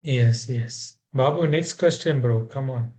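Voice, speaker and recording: Guy co-hosting, average pitch, blunt teasing questions. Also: measured pace, dark tone. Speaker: podcast co-host. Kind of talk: casual chat.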